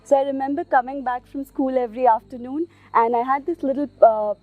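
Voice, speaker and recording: A woman telling an informal personal anecdote, pausing to search for words. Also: high-pitched, fairly even intonation, no room sound, narrow, tinny audio.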